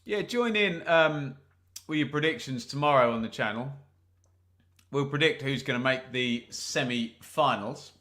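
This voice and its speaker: A man's voice: mid-range voice, unhurried, fairly bright tone. A man hosting a live football watch-along, commentating on the play and chatting to viewers with jokes and asides. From England. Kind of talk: live match commentary and chat.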